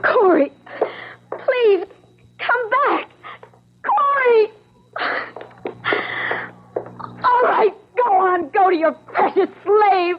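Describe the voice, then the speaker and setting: An actress playing a distraught, imploring fiancée in an old-time radio drama. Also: female voice, very high-pitched, takes it slowly, long pauses. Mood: anguished, tearful. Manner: imploring, emotional. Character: distraught, pleading young fiancée, sensitive and moral. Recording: tinny narrow vintage radio recording.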